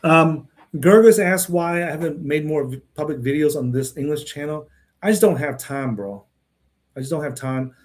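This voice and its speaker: A man's voice: on the high side, normal speed, a little mellow. Rambling man who keeps asking "right?". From Texas. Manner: rambling, repeats words, often tags "right?" and "okay?". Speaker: channel host answering viewer questions. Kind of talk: casual livestream monologue.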